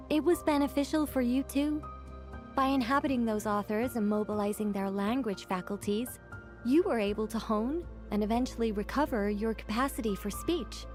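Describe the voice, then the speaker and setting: A woman voicing an elegant, composed woman who speaks in a measured and gently teasing way. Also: fairly high pitch, close to an everyday voice. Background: faint game music.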